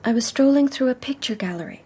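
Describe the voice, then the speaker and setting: An actress playing a wistful young society woman, telling her story in a hushed, measured radio drama style. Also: high voice, animated, breathy edge. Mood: haunted, sorrowful.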